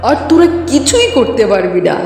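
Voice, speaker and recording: A woman playing a cruel, power-hungry witch in a fairy-tale cartoon, menacing and taunting with drawn-out words. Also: fairly high pitch, wildly swooping pitch, darkish tone. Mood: mocking, threatening. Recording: echoey hall reverb, faint music behind.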